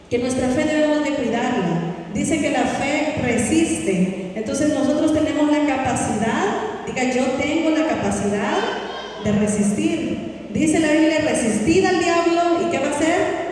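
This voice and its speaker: A woman pastor preaching a sermon, drawing out her Bible readings slowly, recorded in a church hall from some distance. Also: high voice, darkish tone. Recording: echoey hall, voice from far off.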